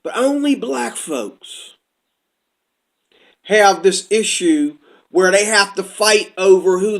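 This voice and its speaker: Casting note: man from the United States, high-pitched, loose rambling commentator with a churchgoer's flow. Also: fairly bright tone. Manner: rambling, talks from notes, puts on quoted voices. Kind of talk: casual monologue commentary.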